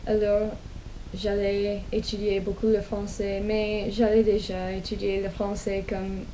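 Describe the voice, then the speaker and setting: American woman, young adult, non-native, slow hesitant delivery, mellow tone, faint background hiss. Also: medium pitch, completely flat delivery, low-quality recording. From United States. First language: English. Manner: slow, hesitant, many pauses and restarts. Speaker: language vlogger. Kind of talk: casual personal storytelling in learned French.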